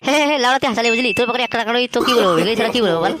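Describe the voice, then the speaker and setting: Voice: medium pitch, racing, heavy background noise, natural voice. A performer with a Bengali accent voicing foul-mouthed, teasing young friends in slangy comic cartoon banter.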